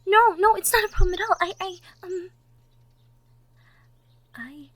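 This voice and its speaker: Woman playing a young cottage girl in love, very high voice, slow delivery, shy, stammering, gentle, romantic audio drama. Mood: shy, tender. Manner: stammering, gentle, breathy asides. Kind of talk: girlfriend roleplay audio drama.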